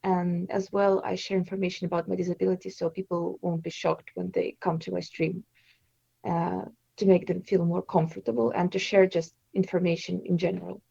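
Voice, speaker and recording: A woman's voice: lowish voice, flat delivery, fairly quick, vocal fry, mellow, husky, dry recording, muffled recording. A woman in her twenties from Estonia, a native Russian speaker, answering interview questions in a hesitant way with many fillers. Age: 20s. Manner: hesitant, with frequent fillers and restarts. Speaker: interview guest, streamer.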